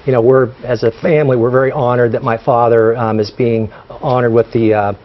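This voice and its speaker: A man who is a guest on a TV interview, speaking haltingly with many fillers and restarts. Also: medium pitch, steady tone. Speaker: guest, son of honored general. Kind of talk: TV studio interview. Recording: dull broadcast audio.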